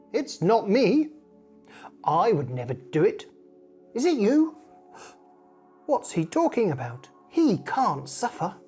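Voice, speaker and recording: A woman reading a story aloud to children in an expressive storyteller's way, acting out the dialogue. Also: medium pitch.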